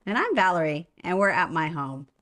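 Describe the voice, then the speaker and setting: A woman's voice: lowish voice, sing-song, brisk, fairly bright tone. A woman co-hosting a casual unboxing and product demonstration, recorded inside a home. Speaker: product review co-host. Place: indoors at a home.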